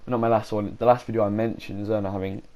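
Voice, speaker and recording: A man with a sore throat rambles through a casual gaming video, stopping often to correct himself. His voice sits fairly low and has a dark, mellow tone. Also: level tone, fast talker. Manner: rambling, frequent self-corrections. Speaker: gaming YouTuber.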